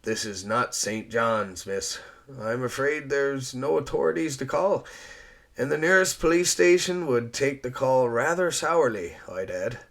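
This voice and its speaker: A man's voice: medium pitch, a little slow, fairly bright tone, voice pushed up. A man playing a self-assured, courteous storekeeper with an easygoing, folksy way of talking. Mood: amused.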